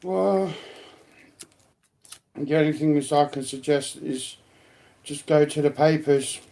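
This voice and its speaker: A man chats in a somewhat high voice at a very slow pace. He explains and advises casually, halting between phrases as he goes. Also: vocal fry, warm tone.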